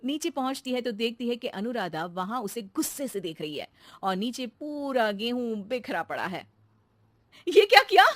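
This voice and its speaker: A woman narrating a children's cartoon story in a lively storytelling manner. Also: a little high, moderate pace, no echo.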